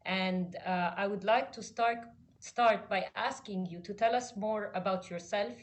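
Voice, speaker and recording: A woman's voice: medium pitch, measured pace. Polite woman moderating a panel. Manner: polite, hesitant with fillers.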